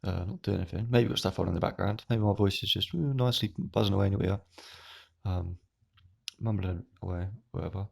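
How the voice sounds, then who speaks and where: A young man with a croaky, hoarse voice, rambling through a casual monologue to camera at home. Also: lowish voice. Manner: rambling, meandering. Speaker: YouTuber.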